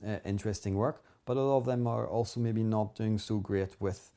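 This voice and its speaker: A man's voice: on the low side, steady tone, fairly quick, warm tone. A man with a Northern Irish accent, speaking as an interview guest in a rambling, earnest way with many fillers. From Northern Ireland. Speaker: guest herbalist. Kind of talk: casual conversation.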